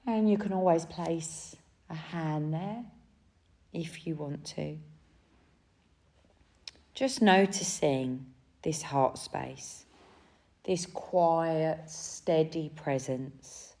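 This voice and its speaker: A woman leading a guided relaxation as a yoga nidra teacher, speaking gently and soothingly in short phrases with pauses between them. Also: low-pitched.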